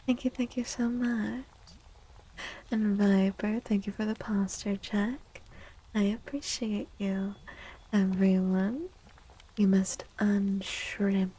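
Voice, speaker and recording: A woman speaks in a soft, breathy voice with a crackle of fry, often dropping to a whisper. She takes her time, gently reassuring listeners in the manner of an ASMR tarot livestream. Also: mid-range voice. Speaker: livestream host and tarot reader.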